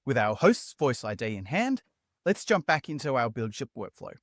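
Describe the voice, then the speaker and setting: A man narrates a building tutorial in an upbeat, chatty voice-over. His pitch moves around a lot. The sound is dry and close, with no room around it. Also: sing-song, normal speed, dry recording. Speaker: tutorial host.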